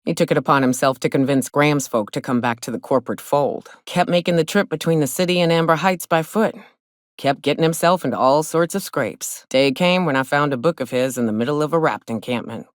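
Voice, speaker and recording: An actress playing a hard-drinking frontier hunter and guide in a video game, blunt and wry, with folksy speech. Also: female voice, low-pitched, a little fast, no room sound, clean studio sound, close to an everyday voice. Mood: grim, knowing. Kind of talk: video game companion dialogue acting.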